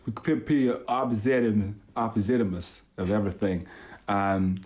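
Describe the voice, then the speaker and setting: A man's voice: on the low side, a little slow, darkish tone. A young British man, a podcast co-host, telling his own story in a chatty, informal, self-deprecating way. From born in London, raised partly in Italy and England. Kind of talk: casual personal storytelling.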